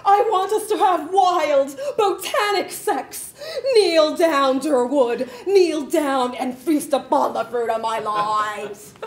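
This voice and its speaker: Actress doing high voiced lovestruck lady going plant, slow n melodramatic, comedy read, roomy sound. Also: a little hiss or hum. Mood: passionate. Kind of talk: comedy script table read. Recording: echoey room.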